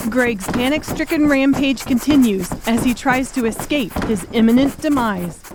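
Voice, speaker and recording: Slightly high-voiced woman narrating an audiobook. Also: background noise. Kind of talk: scripted narration of a novel.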